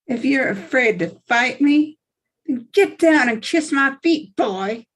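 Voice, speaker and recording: A woman's voice: high-pitched, lively intonation, slow, a bit bright, full character voice. A woman reading the part of a cocky young male boxer who taunts in street slang, at a table read. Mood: taunting. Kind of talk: live online table read.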